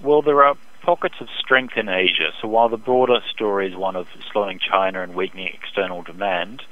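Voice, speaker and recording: A man speaking as an expert economist in a phone interview, giving measured, hesitant, technical market analysis. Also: deep voice, steady tone, no echo. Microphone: telephone. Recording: dull band-limited phone line.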